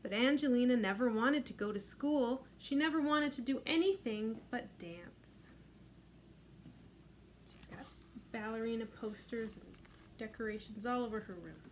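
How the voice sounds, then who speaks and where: Gentle middle-aged woman reading a picture book. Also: a little high, unhurried, a little hiss or hum. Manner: gentle, expressive storyteller, sing-song lifts. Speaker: children's librarian. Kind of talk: storytime read-aloud for children.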